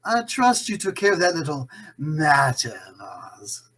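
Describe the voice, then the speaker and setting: Husky, swooping, theatrical crime boss performed on stage. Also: mid-range voice, very animated, a little slow, a bit bright, full character voice. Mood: smug, menacing. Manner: suave, gloating, condescending, frequent chuckling. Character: Mister Carroll, scheming imprisoned male crime boss, theatrical and condescending. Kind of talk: 1940s radio acting.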